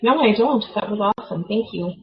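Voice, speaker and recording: A woman's voice: medium pitch, lively intonation, a little fast, slightly rough. A woman calling in to a radio show, giving brief, hesitant answers. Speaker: caller. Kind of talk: phone-in chat. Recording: muffled lo-fi phone audio.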